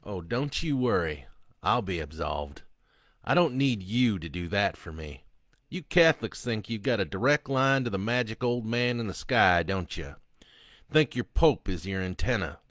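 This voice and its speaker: A man's voice: on the low side, animated, moderate pace, fairly bright tone, no echo, clean studio sound. A man voicing a crude, gloating male killer on death row who mocks a priest. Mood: leering. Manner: taunting, vulgar, mocking.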